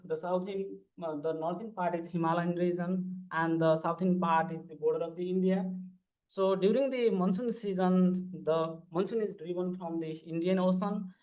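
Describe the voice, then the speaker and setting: A man's voice: high-pitched, steady tone, moderate pace, a little mellow, poor audio. A man, giving an earnest, formal conference panel presentation as a PhD student. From Nepal. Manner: earnest, formal, walks through slides step by step.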